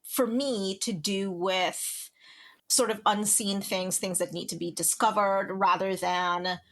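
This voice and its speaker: A woman's voice: mid-range voice, steady tone, slow pace. A woman from Trinidad and Tobago, chatting as an author guest on an interview podcast, rambling with lots of fillers and restarts. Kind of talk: casual interview conversation.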